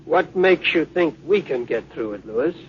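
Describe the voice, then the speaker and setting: Actor as wry, high-pitched ship's doctor, old radio. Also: male voice, expressive, moderate pace, lightly acted. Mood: probing. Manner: wry, questioning. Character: wry, worried male ship's doctor, gloomily candid. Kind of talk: 1950s radio drama acting. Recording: muffled, faintly noisy old radio recording.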